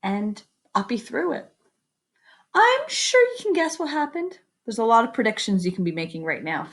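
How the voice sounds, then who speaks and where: A woman reads a children's picture book aloud at an unhurried pace. Her pitch moves around in a lively, expressive way, and she speaks directly to listeners as a storyteller would, mixing narration with conversational comments. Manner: expressive, pauses to comment and invite predictions.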